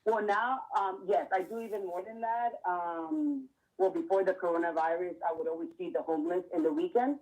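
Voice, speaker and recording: A woman's voice: medium pitch, brisk, rough recording. Earnest woman from Miami, interview guest. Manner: earnest, steady explanations with fillers. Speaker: clothing line founder, guest. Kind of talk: casual interview answers.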